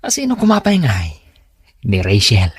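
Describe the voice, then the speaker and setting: Man doing shy lovesick dude, really husky, lively pitch, radio drama. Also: moderate pace, no echo, clean studio sound, exaggerated voice. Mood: bashful, hopeful. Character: bashful lovestruck man confiding in his buddy.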